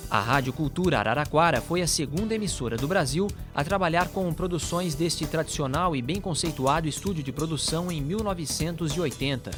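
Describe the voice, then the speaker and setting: A Brazilian man delivers scripted documentary narration in a slightly high male voice. Music plays clearly behind him as he reads.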